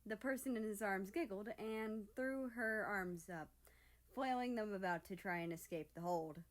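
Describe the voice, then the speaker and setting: A woman reading a story aloud as narrator in an expressive, scripted style. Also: mid-range voice, moderate pace. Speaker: fan fiction reader.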